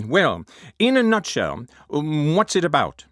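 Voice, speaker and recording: A man playing a formal, hesitant interviewer in a comedy sketch, often clearing his throat. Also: fairly high pitch, wildly swooping pitch, slow pace, dry recording, studio quality, heavily acted. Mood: politely awkward. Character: polite, awkward male celebrity interviewer.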